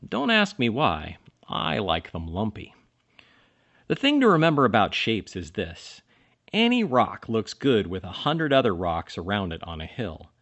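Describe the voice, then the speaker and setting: Animated man reading a children's storybook. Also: fairly low pitch, highs cut off. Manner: dramatic pauses and emphasis for children. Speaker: storybook reader. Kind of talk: expressive children's picture-book read-aloud.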